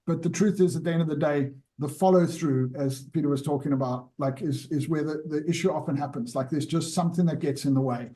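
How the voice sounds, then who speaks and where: A man's voice: a little high, a little fast, few pauses, warm tone. A man hosting an interview, enthusiastic and rambling, with lots of restarts. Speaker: interview host.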